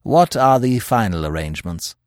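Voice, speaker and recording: A man's voice: fairly low pitch, animated, normal speed, no echo, studio quality, close to an everyday voice. A man voicing a courteous, anxious police commissioner in an audiobook, formal and businesslike. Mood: concerned.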